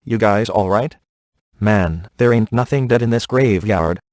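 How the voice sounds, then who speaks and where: An actor playing a streetwise, exasperated ghost hunter in a video game, informal and grumbling. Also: male voice, fairly low pitch, measured pace, darkish tone, dry recording, clean studio sound, lightly acted.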